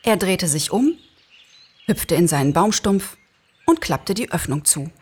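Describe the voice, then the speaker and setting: Husky woman narrating a story. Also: lowish voice, big pitch swings, a little slow, a little mellow. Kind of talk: expressive scripted story narration.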